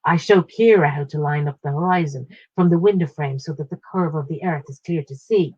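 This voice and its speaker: Woman reading a story, slightly husky, dull recording. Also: low voice, brisk, a little mellow. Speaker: short story reader. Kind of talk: literary first-person story narration, read aloud.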